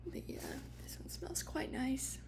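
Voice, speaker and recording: Whispering woman, soft ASMR tea shop roleplay. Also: measured pace. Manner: hesitant, casual, unscripted explaining. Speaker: ASMR creator playing a tea shop attendant. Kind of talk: ASMR roleplay, soft-spoken product recommendations.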